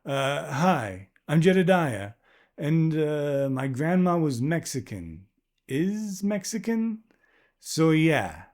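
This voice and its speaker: A man voicing a polite, nervous young man who speaks haltingly, in a storybook reading. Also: on the high side, big pitch swings, darkish tone, voice pushed up. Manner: halting, filler-heavy, unsure.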